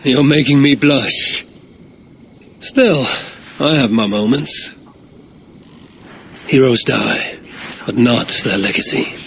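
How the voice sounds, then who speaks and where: Wry, proud Norse god. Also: male voice, mid-range voice, slow pace, gravelly, long pauses, fairly bright tone, a little hiss or hum. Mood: wry amusement. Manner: wry, theatrical, self-assured. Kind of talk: dramatic video game cutscene acting.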